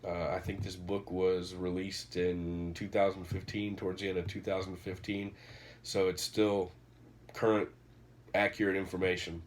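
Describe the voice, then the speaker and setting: A man's voice: sits low, level tone, normal speed, a little hiss or hum. A man, congested and nasal from a cold, casually rambling through a book recommendation in a vlog. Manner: rambling, many fillers and restarts. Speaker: vlogger reviewing a book.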